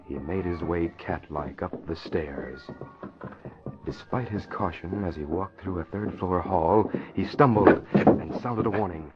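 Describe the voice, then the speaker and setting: A man delivering dramatic scripted narration in the style of a 1940s radio serial. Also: low voice. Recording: muffled old radio, loud music behind. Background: loud dramatic music.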